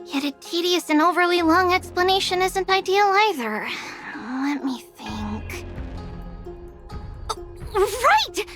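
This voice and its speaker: A very high, slow, childlike voice carries the gravity of an ancient priest. The speech is formal and measured. Music plays under it in this game cutscene. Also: female voice, expressive, fairly bright tone, full character voice. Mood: thoughtful. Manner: grave, formal, speaks of herself as "we". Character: ancient high priest in a young girl's body, solemn.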